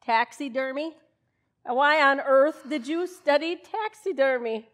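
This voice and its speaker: A woman's voice: high-pitched, unhurried, a bit bright. A woman playing a long-suffering, sensible wife in an old-time radio comedy, chiding in a dry, folksy way. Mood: exasperated.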